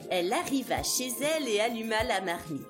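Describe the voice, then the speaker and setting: Animated high-voiced woman storyteller. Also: fairly quick. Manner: expressive, dramatic storytelling. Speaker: children's storyteller. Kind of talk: scripted fairy-tale narration. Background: music behind the voice.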